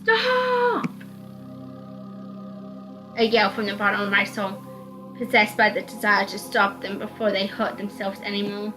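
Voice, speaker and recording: A woman's voice: medium pitch, little pitch movement, a little fast. A woman reading a visual novel aloud as narrator, in dramatic scripted narration. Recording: music under the voice.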